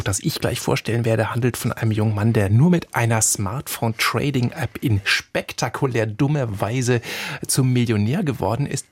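A man with a husky, slightly breathy voice hosts a radio book show. He moderates in a brisk manner. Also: fairly low pitch, dry recording.

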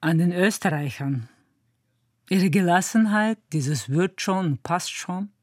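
Woman interviewee, measured tone, dry recording. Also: lowish voice, a little mellow, no echo. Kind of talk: calm reflective answers.

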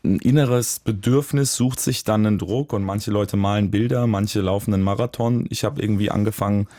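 A man, a musician, talking reflectively in an interview, searching for words with pauses and restarts. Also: lowish voice, fairly even intonation, warm tone, no room sound, clean studio sound. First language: German. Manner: thoughtful, searching, with fillers and restarts. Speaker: musician guest.

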